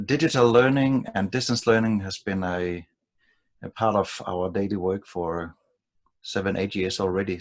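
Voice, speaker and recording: A male professor from Denmark introduces himself on a video call. His voice is very deep, and he speaks in a brisk, eager way. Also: gravelly.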